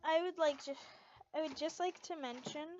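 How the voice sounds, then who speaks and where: A young woman reading fan fiction aloud in an informal, excitable way, stumbling over words and dropping into casual asides. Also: high voice, moderate pace, a little hiss or hum. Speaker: amateur fan fiction reader. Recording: muffled lo-fi home recording.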